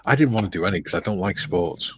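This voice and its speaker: A middle-aged man hosting a relaxed livestream, reading viewer comments aloud with a soft, soothing, self-deprecating manner and frequent laughs. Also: low-pitched, fast, husky, dry recording. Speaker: livestream host.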